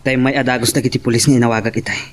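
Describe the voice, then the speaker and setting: A man's voice: medium pitch, level tone, rapid-fire, natural voice. A man playing a worried, protective young lover in a romance drama, with an Ilocano accent. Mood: worried, urgent. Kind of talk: radio-style romance drama acting.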